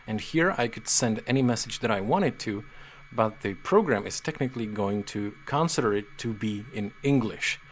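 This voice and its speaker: Patient man explaining software. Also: on the low side, lively intonation, moderate pace, a little hiss or hum. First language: Czech. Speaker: software tutorial presenter. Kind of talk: screen-reader software walkthrough.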